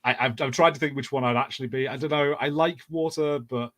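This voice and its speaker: A man speaking as a podcast panelist in an average male pitch. His delivery is informal and conversational, often musing tentatively as he works out an opinion. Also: steady tone, quick pace, fairly bright tone. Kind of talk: casual fan panel chat.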